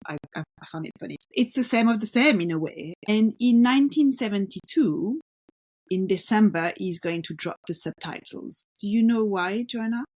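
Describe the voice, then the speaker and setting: A woman's voice: medium pitch, lively intonation, normal speed, a little mellow. Woman co-host explaining art, hesitant. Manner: explanatory, many fillers and restarts. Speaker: podcast co-host. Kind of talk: casual conversation about art.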